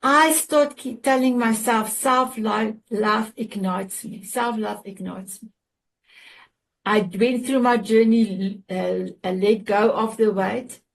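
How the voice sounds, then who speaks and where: A middle-aged woman with a South African accent, a native Afrikaans speaker, hosting a group chat and sharing her story in an earnest, halting way with fillers and restarts. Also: a little high, fairly even intonation, creaky, low-quality recording. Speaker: show host. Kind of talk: casual heartfelt sharing on a video call.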